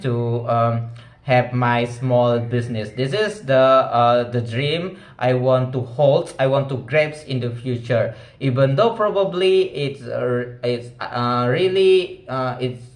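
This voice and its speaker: Young indonesian guy practicing english, slow and hesitant, lots of ums, echoey room. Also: mid-range voice. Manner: hesitant, many fillers and restarts, simple grammar. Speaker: vlogger. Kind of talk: casual English speaking practice.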